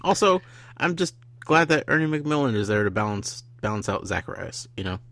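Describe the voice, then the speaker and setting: Bright, lively-voiced podcast co-host man. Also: lowish voice, vocal fry. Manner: playful, quick interjections. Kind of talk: casual podcast chat.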